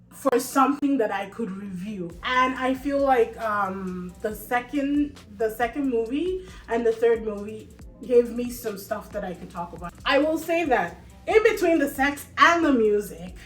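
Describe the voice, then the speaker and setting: A woman reviewing movies on her channel in a chatty, animated way, laughing often. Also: a little high. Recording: echoey room with faint music.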